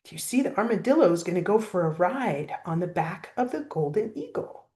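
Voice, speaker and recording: An older woman reading a picture book aloud as a grandmother, pausing to prompt a child with gentle questions. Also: lowish voice, lively intonation. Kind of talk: storybook read-aloud with asides to a child.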